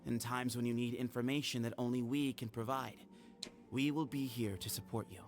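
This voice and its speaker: Calm, earnest young desert temple heir played by an actor. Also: male voice, medium pitch, fairly quick, over music, close to an everyday voice. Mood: earnest, solemn. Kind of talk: scripted video game dialogue.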